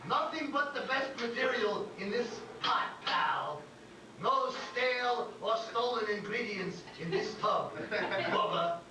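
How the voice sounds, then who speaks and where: A man's voice: animated, slow, bright, forceful tone, very echoey, exaggerated voice. A man playing a boastful, smug, wisecracking cooking-show chef in a staged comedy sketch reading. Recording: boomy, noisy live room, distant mic.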